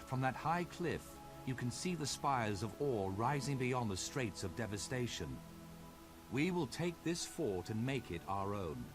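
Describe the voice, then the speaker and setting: An actor playing a solemn male war commander in a video game, speaking in a grave, rousing and measured way. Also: medium pitch, big pitch swings. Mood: solemn resolve. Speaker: game character, military leader. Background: orchestral game music.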